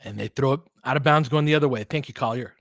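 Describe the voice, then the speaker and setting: A young man calling a basketball game live for his listeners, mid-pitched and excitable. He talks to the audience between plays with quick asides and frequent laughs. His voice sounds close and dry, with no room echo. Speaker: fan play-by-play host. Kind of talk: live play-by-play commentary and chat.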